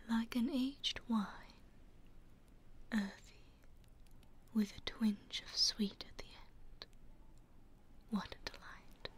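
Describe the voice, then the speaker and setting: A woman whispering as a genteel British vampire lady. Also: on the high side. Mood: soothing. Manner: whispered, coaxing, politely menacing. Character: genteel female vampire lady, coaxing and smug. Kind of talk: ASMR roleplay.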